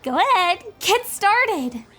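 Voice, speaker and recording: A woman's voice: extremely high pitch, sing-song, takes it slowly, fairly bright tone, full character voice. A woman voicing an earnest, curious, bookish young unicorn pony in a cartoon fan fiction drama. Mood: eager. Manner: earnest, inquisitive.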